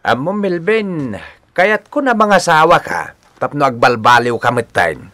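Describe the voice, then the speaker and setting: A man's voice: fairly high pitch, sing-song, measured pace, sharp tone, no room sound, heavily acted. Filipino concerned man, close and dry. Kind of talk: Ilocano radio drama acting.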